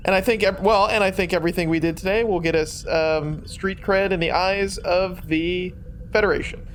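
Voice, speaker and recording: A man's voice: high-pitched, steady tone, moderate pace, a bit bright, slight background noise. A man chatting casually and jokily as a player in a tabletop roleplaying group. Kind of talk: casual, joking group chat.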